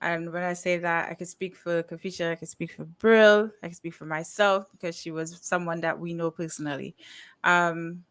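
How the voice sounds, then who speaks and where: A woman's voice: lowish voice, steady tone, normal speed, fairly bright tone, clean tone. Chatty woman hosting a livestream. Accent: Caribbean. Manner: chatty, laughs often, uses fillers like "um" and "you know". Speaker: podcast host. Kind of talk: casual livestream chat.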